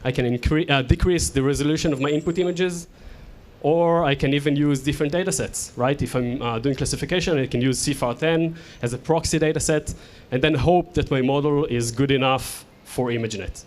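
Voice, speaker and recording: Man, Israeli accent, native Hebrew speaker, technical talk, halting with fillers, medium pitch. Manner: thinks aloud with many fillers and restarts, often adds a questioning "right?". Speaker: lecturer. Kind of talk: technical lecture.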